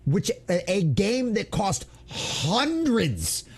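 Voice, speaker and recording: Guy with a pretty high voice doing gaming news rants, super emphatic, kinda mocking and theatrical. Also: wildly swooping pitch, drawn-out words, husky. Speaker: gaming news commentator and channel host. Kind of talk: opinionated news commentary to an online audience.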